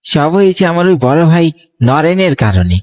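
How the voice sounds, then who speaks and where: A man's voice: on the high side, sing-song, moderate pace, a little mellow, no echo. A man playing an earnest young student, the wronged younger brother, in a horror audio story. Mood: sorrowful.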